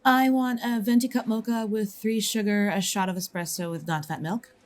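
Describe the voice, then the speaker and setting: A woman's voice: medium pitch, fairly even intonation, moderate pace, close to an everyday voice. A woman playing a catty, gossiping college theater student with an exaggerated, drawling delivery in an audio drama. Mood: brusque, entitled.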